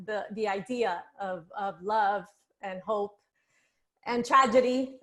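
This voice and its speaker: Female author-panelist, higher register, conversational and reflective.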